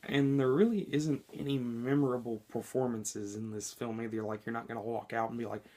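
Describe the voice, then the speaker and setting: A man with a hoarse, slightly scratchy voice from being sick, chatting informally through a movie review with frequent ums and self-corrections. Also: medium pitch, fairly even intonation, brisk, a little mellow. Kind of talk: casual spoken movie review.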